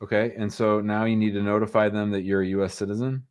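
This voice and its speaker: A man's voice: lowish voice, little pitch movement, fast, darkish tone, smooth. A man hosting a live call-in advice show, chatting casually and giving short, informal, reassuring answers. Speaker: host answering immigration law questions.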